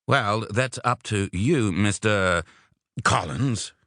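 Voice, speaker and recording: A man's voice: on the low side, slow, a bit bright, dry recording, studio quality, pitched above the performer's own voice. A man voicing a disdainful, officious vet in an audiobook, clipped and matter-of-fact. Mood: matter-of-fact, firm. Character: disdainful, officious male veterinarian, dry and unbending. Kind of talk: audiobook character voice.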